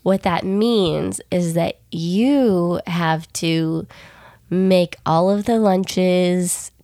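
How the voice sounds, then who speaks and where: A young woman co-hosting a podcast, soft-spoken and rambling a little, getting over a cold. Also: on the low side, no room sound, clean studio sound. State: recovering from a cold. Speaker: podcast co-host. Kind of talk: casual podcast chat.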